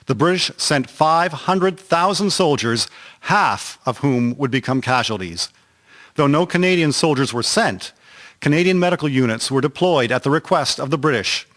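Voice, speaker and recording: A man's voice: on the high side, fairly bright tone. A man reading scripted historical narration for a radio drama series.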